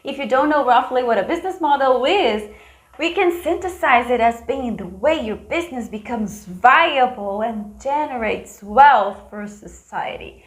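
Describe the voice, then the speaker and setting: A woman's voice: fairly high pitch, animated, a little slow, echoey room. A woman from Brazil with a Brazilian accent, giving a scripted business lesson with playful, chatty asides to the viewer. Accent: Brazilian Portuguese. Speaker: business educator.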